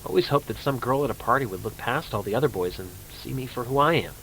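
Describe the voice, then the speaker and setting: A man's voice: medium pitch, lively intonation, fairly bright tone. Man acting a lonely lovestruck young man, noisy recording. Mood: bitter, self-pitying. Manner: earnest, halting, self-pitying. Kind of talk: dramatic monologue in an amateur horror production. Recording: clear background noise and ambience.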